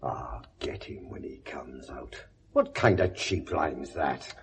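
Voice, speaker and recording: An actor playing a blunt, world-weary police inspector with a British accent and a sardonic, lecturing manner in a 1970s radio drama. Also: male voice, very deep voice, slow pace, gravelly, slight background noise, heavily acted. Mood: forceful, scornful. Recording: dull vintage radio recording.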